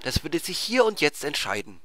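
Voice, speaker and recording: A man's voice: a little high, sing-song, moderate pace, a bit bright. A man narrating a comic fantasy tale in a theatrical, emphatic scripted style. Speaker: storyteller.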